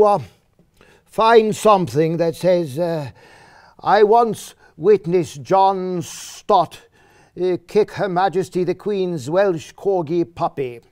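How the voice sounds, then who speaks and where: A man plays an exasperated, drily ironic biographer in a stage monologue. His voice sits fairly high and moves very slowly, with pompous, careful phrasing and small hesitations. The sound is dry and close. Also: darkish tone, no echo, full character voice. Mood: mock exasperation. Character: wry, exasperated male biographer addressing his old friend. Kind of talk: theatrical monologue reading a letter aloud.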